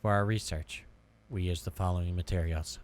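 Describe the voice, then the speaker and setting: Deep-voiced man reading a story aloud. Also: fairly even intonation, fairly quick. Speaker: game streamer reading story dialogue aloud. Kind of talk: voiced playthrough of a visual novel.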